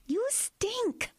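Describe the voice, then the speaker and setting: A woman acting a worried, exasperated wife in an audio drama, pleading and insistent in a natural way. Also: a little mellow. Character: worried, exasperated wife who works with patients.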